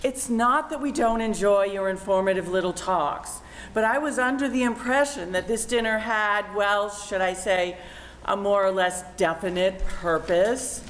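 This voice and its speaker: A woman's voice: medium pitch, measured pace, natural voice. A woman playing a stern, haughty wife, speaking formally and pointedly in an amateur radio play. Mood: stiff, disapproving. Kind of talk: amateur radio-play acting of a 1940s comedy. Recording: echoey room, faint background noise.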